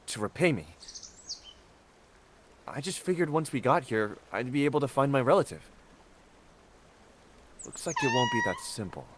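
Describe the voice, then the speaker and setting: A man's voice: mid-range voice, noisy background. A man playing a young traveler in a video game, a junior disciple who is wry but sincere. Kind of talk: video game character acting. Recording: loud music behind the voice.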